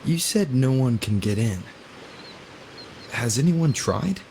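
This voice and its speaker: An actor playing a calm, reserved young male hero in a video game, with a terse, serious, understated delivery. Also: medium pitch, slow, a little hiss or hum.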